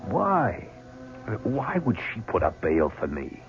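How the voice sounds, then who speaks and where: A man's voice: on the low side, huge pitch swings, slow pace, loud music behind the voice, exaggerated voice. An actor playing a suspicious, agitated man accused of murder who distrusts everyone. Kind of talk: 1970s radio drama acting. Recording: dull old radio recording with faint hiss.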